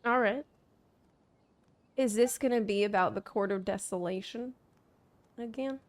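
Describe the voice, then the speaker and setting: A woman's voice: mid-range voice, measured pace. A woman live-streaming a game, chatting casually and reacting to what happens. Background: faint game music.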